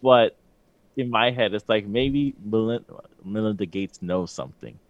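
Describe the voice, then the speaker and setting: A man with a very deep voice trades casual jokes as a podcast co-host. He talks in a relaxed, meandering way, often stopping on "like". The sound is dry and close, with no room around it. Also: unhurried, no room sound, highs cut off. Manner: laid-back, joking, trails off with "like". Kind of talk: casual banter.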